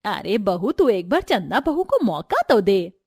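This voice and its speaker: A woman voicing a kindly middle-aged mother-in-law in a family story, with a gentle, motherly manner. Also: mid-range voice, sing-song, measured pace, vocal fry, husky, dry recording, clean studio sound, heavily acted. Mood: concerned. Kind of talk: Hindi family storybook voice acting.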